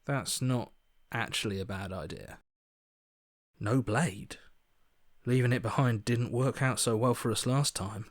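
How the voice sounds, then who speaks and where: An actor playing an earnest, principled hero in a video game, with a sceptical, pressing manner. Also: male voice, medium pitch, measured pace, pauses often, no room sound, clean studio sound, close to an everyday voice. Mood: wary. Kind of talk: video game character voice acting.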